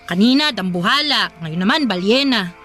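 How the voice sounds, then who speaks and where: A woman's voice: medium pitch, expressive, moderate pace, bright, forceful tone, faint music behind. A woman playing a worried, reproachful younger sister in a Filipino radio drama, pleading and scolding.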